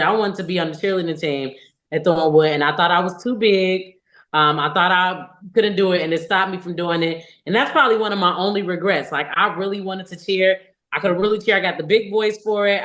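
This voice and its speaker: A woman's voice: fairly low pitch, brisk. Candid young woman in an echoey room. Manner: candid, animated storytelling. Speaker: podcast guest, singer. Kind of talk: casual interview chat.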